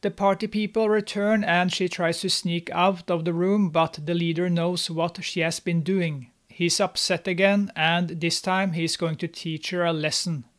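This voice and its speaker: A young man with a fairly high voice narrates a film recap, steady and scripted. His tone stays snarky and mocking as he pokes fun at the characters. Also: a little slow, hardly any pauses. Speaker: movie recap host. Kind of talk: sarcastic movie recap narration. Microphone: studio mic, on camera.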